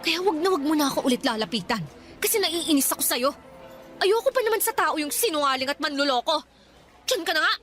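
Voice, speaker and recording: A woman's voice: high-pitched, animated, a little fast, over music. A woman playing an indignant, hurt young office worker in an emotive, confrontational Tagalog radio drama style. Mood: angry, hurt.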